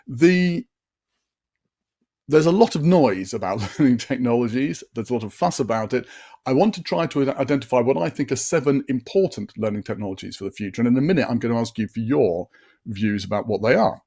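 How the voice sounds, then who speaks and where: A man's voice: medium pitch, creaky, dark tone. Chatty older man presenting a webinar. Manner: chatty and upbeat, many fillers and restarts. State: slightly deeper voice from a late night. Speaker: conference session presenter.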